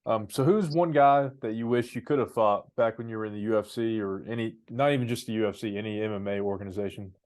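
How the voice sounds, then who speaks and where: A man's voice: fairly low pitch, fast, hardly any pauses, a little mellow. A man hosting a podcast, asking questions in a casual, conversational interview style.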